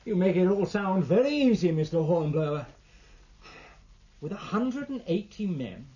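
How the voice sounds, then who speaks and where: An actor playing an anxious, testy British naval captain in a 1950s radio drama, speaking in a formal, challenging manner. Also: male voice, high-pitched, big pitch swings, normal speed, warm tone. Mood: testy, defensive. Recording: muffled, noisy vintage radio recording.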